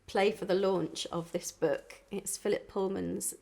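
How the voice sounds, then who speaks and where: A woman's voice: mid-range voice, fairly even intonation, a little slow, vocal fry, warm tone. A woman musician chatting informally and introducing songs, with an easy, laughing manner. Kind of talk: casual chat between songs.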